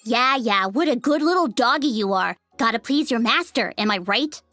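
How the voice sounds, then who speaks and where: Game role: sarcastic young female soldier. High-pitched, dramatic, complaining, swoopy intonation. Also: expressive, normal speed, sharp tone, soft background music. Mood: indignant, sarcastic. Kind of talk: English video game voice acting.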